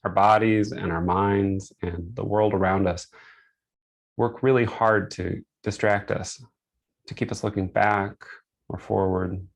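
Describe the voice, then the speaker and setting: A man leading a guided meditation, speaking gently in short, unhurried phrases with pauses between them. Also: on the low side, vocal fry. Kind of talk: guided meditation, instructional and soothing.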